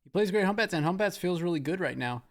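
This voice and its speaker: A man's voice: high-pitched, expressive, fast talker. A man giving live esports commentary and analysis as a co-caster. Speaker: esports shoutcaster.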